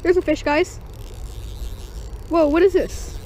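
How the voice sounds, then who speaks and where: An excited fishing vlogger narrating catches on a creek bank, calling out to the viewers as guys, recorded on a body-worn action camera. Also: extremely high pitch, slow pace. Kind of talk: excited first-person fishing commentary. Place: outdoors at a small creek bank. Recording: dull lo-fi camera audio with faint noise.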